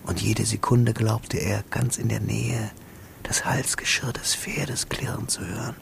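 Gravelly, low-voiced man narrating. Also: fairly even intonation, a little slow, whispered. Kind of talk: scripted literary radio drama narration. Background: music.

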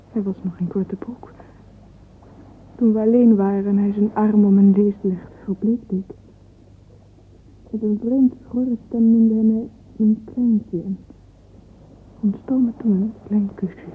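A dreamy, sentimental young woman voiced by an actress confides her longings in a radio play. Her middle-pitched voice is intimate and breathy, slipping toward a whisper, with a touch of vanity. The old recording is thin and phone-like, with faint hiss. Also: close to an everyday voice. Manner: intimate, wistful, often breathy and half-whispered. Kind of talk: radio drama interior monologue.

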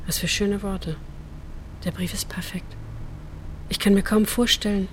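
An actress in a radio drama playing a refined, compassionate lady who speaks politely, earnestly and softly. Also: medium pitch, fairly even intonation, unhurried, background noise, close to an everyday voice. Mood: gentle, earnest. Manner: polite, earnest, soft-spoken.

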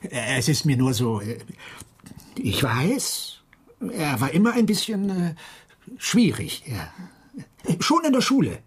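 Husky, fussy, awkward insurance man. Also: a little high, wildly swooping pitch, takes it slowly, pauses often, exaggerated voice. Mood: embarrassed, hesitant. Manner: hesitant, stammering, fussily formal. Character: awkward, agitated, suspicious male insurance clerk. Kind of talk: radio drama acting.

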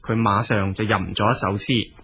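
A man's voice: fairly low pitch, steady tone, normal speed, bright, forceful tone, breathy edge. A man with a Cantonese accent narrating as a storyteller, in a colloquial spoken style.

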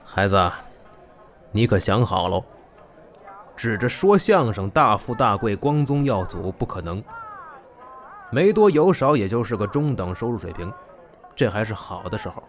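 A man playing a gruff but warm-hearted middle-aged comedy master in an audio drama. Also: fairly low pitch, big pitch swings, moderate pace, long pauses, slight background noise. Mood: frank, pleased. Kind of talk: Chinese audio drama acting.

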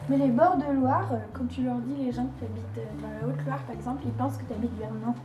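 A woman with a high voice chats casually and jokes lightly with her friends. The sound is roomy, with clear music playing beneath. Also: fairly even intonation, fast talker. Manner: playful, teasing questions. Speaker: participant in group chat. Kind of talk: casual chat. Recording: roomy recording with music underneath.

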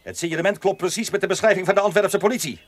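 An actor playing a stern, officious gendarme in a period audio drama, speaking forcefully. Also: male voice, high voice, steady tone, rapid-fire. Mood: stern, aggressive. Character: stern, officious male gendarme making an arrest.

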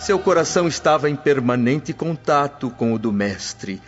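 An actor playing a humble, devout freed slave preaching earnestly to a crowd of Christians. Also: male voice, medium pitch, lively intonation, moderate pace, lightly acted. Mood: solemn. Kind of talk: radio drama acting. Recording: dull audio with soft music.

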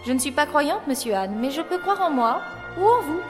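Woman acting high-voiced, coquettish young opera apprentice. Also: expressive, fairly quick. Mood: teasing, poised. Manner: coquettish, commanding, formal period speech. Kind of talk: French audio drama acting. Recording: long reverb, music behind.

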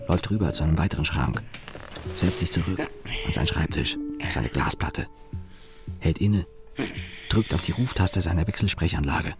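An actor playing an employee's sardonic inner voice, narrating a radio play in dry, clipped observations. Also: male voice, low-pitched, creaky. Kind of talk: radio play narration, present-tense monologue.

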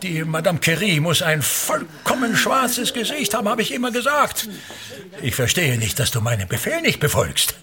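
An actor playing a pompous, tyrannical ageing actor-manager, declaiming contemptuously and repetitively in a radio drama. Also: male voice, sits high, very animated, moderate pace, crowd noise. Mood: imperious, nagging. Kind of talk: theatrical German radio drama monologue.

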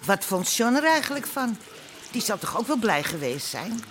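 Worried gangster wife, forceful bright tone, scolds and cares, some background ambience, radio drama. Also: female voice, a little high, very animated, normal speed, slight background noise. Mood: reproachful. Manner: scolding, caring. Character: worried, nagging wife of gangster, café landlady. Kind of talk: Dutch radio drama acting.

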